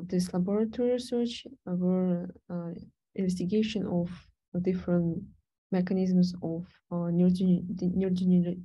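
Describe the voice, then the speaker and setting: Soft-spoken young woman, hesitant presenter. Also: fairly low pitch, lo-fi sound. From Latvia. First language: Russian. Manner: hesitant, many fillers and restarts. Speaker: PhD candidate presenter. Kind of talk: online research talk.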